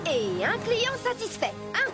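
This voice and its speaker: A cheerful female mechanic truck from a kids' cartoon, high-voiced and full of swoops. She explains things in an upbeat, helpful way over clear background music. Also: wildly swooping pitch, a little slow, full character voice. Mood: encouraging. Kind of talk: children's cartoon voice acting.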